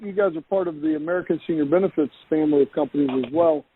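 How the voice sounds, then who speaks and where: A man's voice: a little high, fairly even intonation, racing, breathy edge, no echo. A man with a West Texas accent hosting a podcast, chatting in a jokey, self-deprecating way. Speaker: podcast host. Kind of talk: casual video-call chat. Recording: phone-like call audio.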